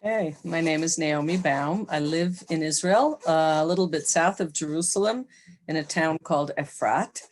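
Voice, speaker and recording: A woman's voice: deep voice, normal speed, slight background noise. An older woman with an American accent, introducing herself conversationally as an interview guest. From United States. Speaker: podcast guest, psychologist.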